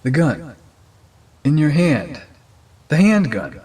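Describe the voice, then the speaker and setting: A man playing a practical, slightly exasperated robot crewmate in an audio drama, husky and casual. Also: on the high side, big pitch swings, slow pace, warm tone, heavily acted. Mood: matter-of-fact.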